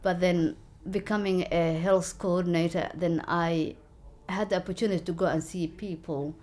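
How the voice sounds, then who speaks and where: Woman, 40s, lowish pitch; earnest interviewee, halting delivery. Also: steady tone, darkish tone. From Somalia. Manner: earnest, halting, many fillers and restarts. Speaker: local election candidate. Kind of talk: interview, personal story.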